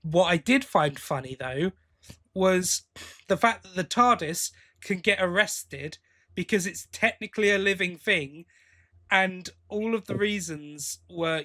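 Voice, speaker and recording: A man's voice: high-pitched, pushed and bright. A man with a British accent co-hosting a podcast, chatting casually in a blokey, teasing way. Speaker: podcast co-host. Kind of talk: casual chat, fan review.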